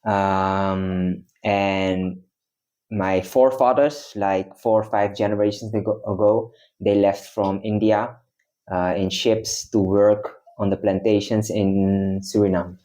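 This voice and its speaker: A man's voice: on the low side, steady tone, slow, mellow. A young man from the Netherlands, a guest in casual chat, explaining at length with many hesitations.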